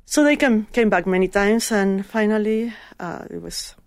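Gravelly woman, earnest. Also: medium pitch, lively intonation, moderate pace, dry recording. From Chile. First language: Spanish. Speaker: guest. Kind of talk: heartfelt personal testimony.

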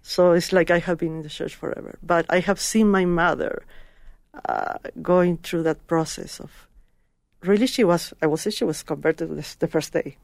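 Gravelly woman, earnest. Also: on the low side, steady tone, normal speed, no echo, studio quality. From Chile. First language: Spanish. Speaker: guest. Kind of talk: heartfelt personal testimony.

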